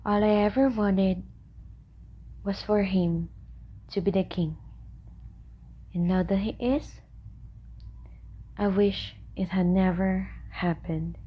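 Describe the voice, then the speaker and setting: A woman's voice: medium pitch, fairly even intonation, takes it slowly, long pauses, slightly rough, dull audio. A woman playing a troubled queen full of regret in an amateur radio drama. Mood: regretful.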